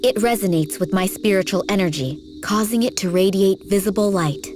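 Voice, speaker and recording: A female actor as an earnest young princess in a fantasy game. Her voice sits at a middle pitch and is well-spoken, with a mystical side to her. Faint music runs underneath. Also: fairly quick, natural voice. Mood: serious, assured. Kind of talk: video game voice acting.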